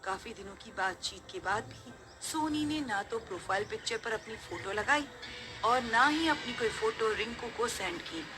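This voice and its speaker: A woman's voice: a little high, expressive, normal speed. A woman reading scripted narration of a horror story as its narrator. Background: music.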